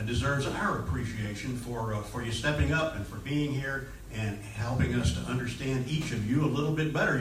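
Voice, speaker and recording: A man moderating a public candidate forum, courteous and halting, often repeating words as he goes. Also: mid-range voice, fairly bright tone. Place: public meeting hall, indoors. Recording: echoey room, distant, faint noise.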